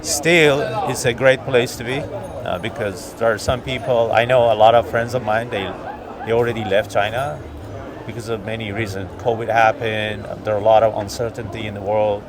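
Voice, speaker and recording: This man from Iran talks in a somewhat low voice, weighing his words and pausing often. He stands outdoors on a city street, with a loud crowd of passersby all around him. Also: steady tone. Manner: thoughtful, hesitant with many fillers. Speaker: interviewee. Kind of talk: street interview answers. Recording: busy street crowd behind.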